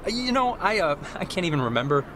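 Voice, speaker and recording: Teen boy for a game, American, high and swoopy, rambling and jokey, loud ambience behind. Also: quick pace, background noise. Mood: rambling, upbeat. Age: young adult. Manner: rambling, animated, self-interrupting. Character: excitable, rambling, joking teenage boy. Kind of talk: naturalistic video game dialogue.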